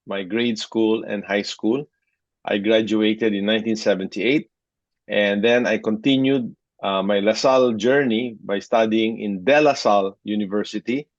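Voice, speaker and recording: A man's voice: lowish voice, no room sound, dull audio. A man, answering interview questions hesitantly, often restarting his sentences. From Philippines. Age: 60s. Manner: hesitant, with frequent restarts and short laughs. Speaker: interview guest. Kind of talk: conversational interview.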